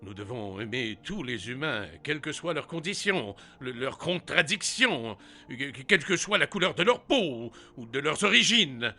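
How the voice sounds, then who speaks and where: An actor playing Zeus, a blustering, fatherly king of the gods in a comic audio drama, giving booming commands. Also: animated, moderate pace, bright, forceful tone. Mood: solemn. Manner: booming commands, stammers when flustered.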